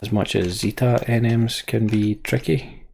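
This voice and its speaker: A man's voice: on the low side, steady tone, a little slow, vocal fry, darkish tone, slight background noise. A man giving casual live game commentary, rambling as he thinks aloud. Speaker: game streamer.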